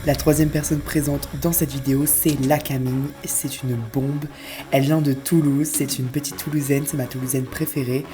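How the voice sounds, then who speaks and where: A woman's voice: rumbling low, level tone, normal speed, reverberant, music in the background. A young woman chatting in a casual, playful voice-over full of slang as she introduces her friends. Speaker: vlogger narrating her camp video.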